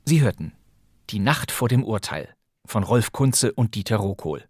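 A man hosting a crime podcast, calmly announcing credits and background. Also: lowish voice, measured pace, no room sound, clean studio sound. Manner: calm, informative announcing. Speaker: podcast host. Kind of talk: podcast narration.

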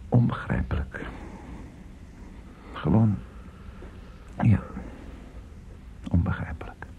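An actor playing a weary, grieving old father in a radio drama, muttering haltingly and repeating himself with gentle bewilderment. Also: sits low, vocal fry, whispered, full character voice. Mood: bewildered grief. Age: older. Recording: muffled phone-like band, noisy old radio recording.

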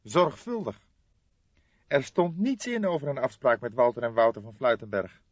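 A man reading an audiobook, voicing an excitable young police detective who questions eagerly and indignantly. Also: medium pitch, moderate pace, dry recording, muffled recording, voice pushed up. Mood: eager, thoughtful.

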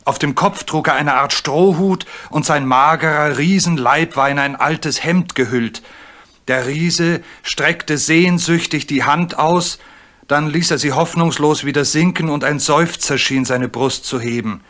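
A man narrating a German radio play in an expressive, suspenseful storybook style. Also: a little high, measured pace.

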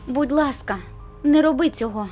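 A woman's voice: on the high side, fast talker, darkish tone, faint music behind, close to an everyday voice. A woman voicing an anxious, stubbornly protective teenage girl in an audiobook, insistent and pleading.

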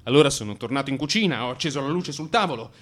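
An actor playing an anxious, brooding married man in crisis, in an intimate, halting radio monologue. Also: mid-range voice, lively intonation, fairly bright tone, soft background music, natural voice. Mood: agitated reminiscing.

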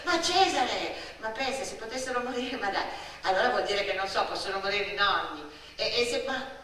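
Woman acting an excitable little girl, echoey hall. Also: mid-range voice, moderate pace, natural voice. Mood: excited, puzzled. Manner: breathless questioning, stammering restarts. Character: excitable, inquisitive little girl, naive and stubborn. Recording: echoey hall from far off, faint noise.

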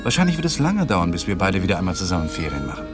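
An actor playing a gentle husband in a radio drama who keeps trying to smooth things over. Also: male voice, deep voice, very animated, racing, over music. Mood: placating. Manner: soft and placating. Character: gentle conciliatory husband, eager to please. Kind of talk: 1980s radio drama acting.

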